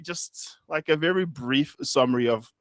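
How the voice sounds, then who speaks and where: A man moderating a relaxed studio interview, asking questions in an informal, teasing way. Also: a little high, normal speed, no echo. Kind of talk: casual interview chat.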